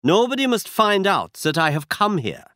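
Male reader doing a towering masked king with a German accent, formal and stiff, voice pushed up high and swooping. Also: very animated, moderate pace, sharp tone, no room sound, studio quality, heavily acted. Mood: urgent. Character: foreign nobleman, huge masked king, anxious. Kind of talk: audiobook character reading.

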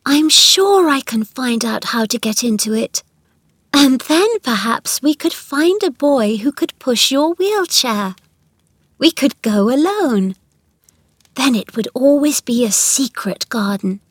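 A gentle, anxious young storybook girl, played by a woman reader. Her voice is high and earnest, with a coaxing, pleading way of talking, recorded dry and close. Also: lively intonation, takes it slowly, no room sound, exaggerated voice. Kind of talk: children's storybook narration.

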